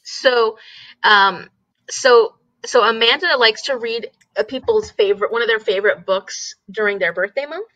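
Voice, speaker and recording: A woman's voice: on the high side, normal speed, sharp tone, no room sound. A woman with a nasal voice, hosting a reading stream and chatting casually with a friend, laughing often and hunting for words. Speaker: host of a reading livestream. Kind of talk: casual chat between friends. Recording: dull, muffled audio.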